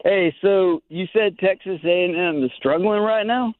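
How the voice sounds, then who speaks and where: A man calling in to sports radio, chatty and halting as he asks the host questions. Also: high voice, no echo. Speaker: caller. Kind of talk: phone-in sports chat. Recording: dry phone line.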